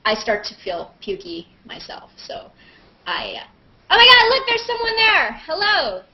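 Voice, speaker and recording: A woman's voice: very high voice, huge pitch swings, a little slow. A woman chatting casually on a livestream, rambling and self-mocking as she thinks aloud while answering questions. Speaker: actress and vlogger hosting a live Q&A. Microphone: video call on a computer. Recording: dull lo-fi webcam audio.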